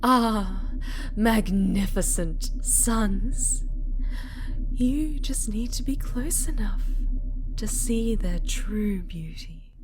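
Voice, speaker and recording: A woman playing a possessive, obsessive winged superheroine in a dramatic ASMR roleplay, slow and breathy with theatrical pauses. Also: medium pitch, lively intonation, over music, pitched above the performer's own voice. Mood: awed, adoring. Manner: slow, breathy, theatrical delivery with drawn-out pauses and whispered words. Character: possessive, obsessive dark winged female superhero, sinister and adoring.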